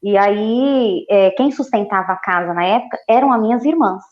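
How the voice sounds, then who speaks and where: Lively woman in her forties, lo-fi. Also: mid-range voice, moderate pace. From Ceará, Brazil, raised in São Paulo. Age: 40s. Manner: lively, laughs easily, repeats phrases. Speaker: nutritionist guest. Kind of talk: casual interview.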